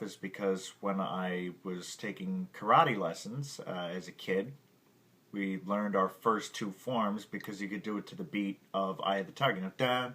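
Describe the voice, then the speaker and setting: A man's voice: on the low side, pushed and bright. A man hosting a music lesson podcast who keeps stumbling over his words, laughing at himself and muttering curses under his breath. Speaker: music podcast host teaching at the piano. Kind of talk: casual music lesson outtakes. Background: his own piano or guitar playing.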